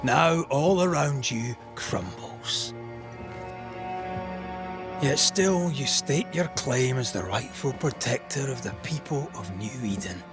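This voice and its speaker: An actor playing a stern investigator in a video game, delivering a grim, scathing judgement in a deliberate manner. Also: male voice, medium pitch, expressive, music in the background. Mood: grave. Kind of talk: dramatic video game monologue.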